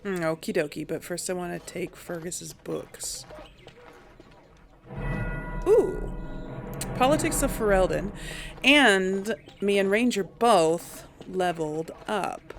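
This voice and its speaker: Unhurried creaky-voiced woman gamer. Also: lowish voice, lively intonation. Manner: unhurried, thinking aloud. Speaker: game streamer narrating a role play. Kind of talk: casual let's play commentary.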